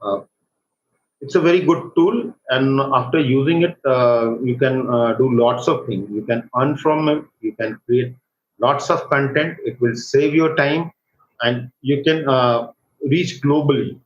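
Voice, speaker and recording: A man with an Indian accent gives an informal live software tutorial as an online instructor, speaking in a halting, hesitant way. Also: medium pitch. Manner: halting, hesitant delivery with repeated check words. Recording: roomy echo, dull lo-fi microphone, faint noise.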